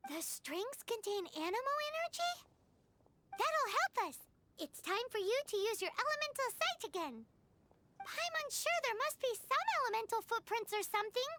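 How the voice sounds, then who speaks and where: Casting: tiny cheeky fairy mascot, anime game, very high childlike squeak, animated delivery. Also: female voice, moderate pace, background noise. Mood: eager, curious. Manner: excitable, refers to herself in third person. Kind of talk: anime video game voice acting.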